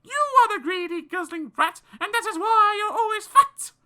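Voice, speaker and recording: Man as a storybook mother, very high shrill voice, stern, indignant, piercing. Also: moderate pace, pushed and bright, exaggerated voice. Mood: furious scolding. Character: shrill, scolding, exasperated mother.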